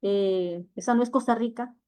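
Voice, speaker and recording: A woman's voice: on the high side, a little mellow. Salvadoran-born woman moderating a panel. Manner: measured, hesitant with frequent fillers. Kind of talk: panel discussion.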